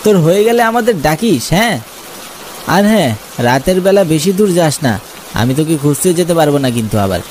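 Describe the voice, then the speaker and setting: A man's voice: on the high side, sing-song, fairly quick. A man voicing a teasing, bossy young village man in a cartoon, chiding as he talks. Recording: noisy, rain behind the voice.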